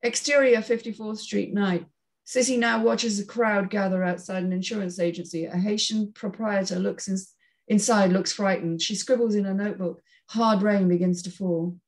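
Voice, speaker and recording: A woman's voice: medium pitch, fairly even intonation, normal speed. A woman narrating stage directions at a screenplay table read, in a scripted, read-aloud style.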